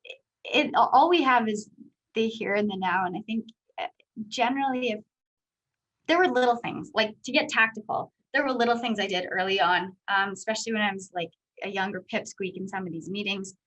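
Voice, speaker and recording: A woman in her thirties from Canada with a high voice, answering interview questions as a guest speaker in a candid, chatty way full of fillers. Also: brisk, a bit bright, rough recording. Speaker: guest speaker, company executive.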